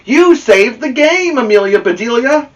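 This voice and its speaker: Excitable boys on a storybook baseball team, voiced by a man, shouting in a high, urgent voice. The pitch leaps around wildly and the sound is distant and a little muffled. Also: unhurried. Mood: triumphant.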